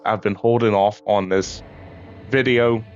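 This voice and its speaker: A man, slightly hoarse from a cough, chatting casually and rambling with lots of ums as he plays a game. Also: fairly low pitch, steady tone, measured pace, dull audio, soft background music. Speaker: gaming YouTuber. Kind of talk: casual chat over gameplay.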